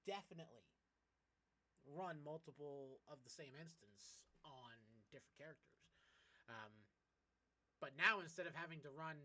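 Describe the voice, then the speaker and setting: A man's voice: a little high, bright, forceful tone. A man streaming a game, explaining things casually in a rambling, emphatic way with repeated points. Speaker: gaming streamer. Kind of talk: casual gameplay chat, explaining.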